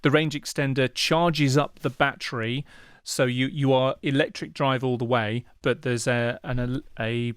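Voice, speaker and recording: A British man co-hosting a casual podcast. His voice is smooth and clean, recorded close and dry with no room around it. He explains things conversationally, pausing and rephrasing as he goes. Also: medium pitch, steady tone, dry recording. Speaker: podcast co-host.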